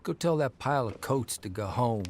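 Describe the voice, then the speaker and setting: Grumpy 1930s newspaper husband for audio drama: exasperated, folksy, very animated delivery, gravelly edge. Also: male voice, mid-range voice, normal speed. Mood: exasperated, pleading.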